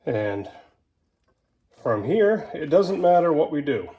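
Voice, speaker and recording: A man's voice: a little high, big pitch swings, slow, warm tone, breathy edge. A man talking casually and colloquially through a hands-on do-it-yourself job as he works, hosting his own how-to video. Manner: folksy, colloquial. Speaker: host of a DIY how-to video. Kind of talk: casual hands-on tutorial talk while working.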